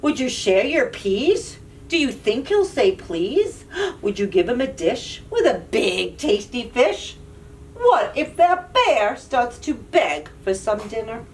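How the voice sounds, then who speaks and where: A woman reading picture books aloud to young children, with an animated, playful, questioning delivery. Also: a little high, slow. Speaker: children's librarian and storyteller. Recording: distant mic, audible background noise.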